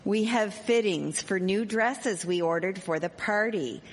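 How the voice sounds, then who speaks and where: A woman playing a highbrow, formal politician's wife of the 1870s in a live radio drama. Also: unhurried, close to an everyday voice. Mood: gracious. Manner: formal, genteel. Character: highbrow politician's wife, genteel society hostess. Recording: echoey hall, live stage recording.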